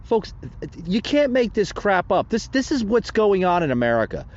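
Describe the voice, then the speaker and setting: A man's voice: sits high, big pitch swings, moderate pace, lo-fi sound. A man hosting a solo political commentary podcast, rambling and indignant, with many fillers and restarts. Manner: indignant and preachy. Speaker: podcast host.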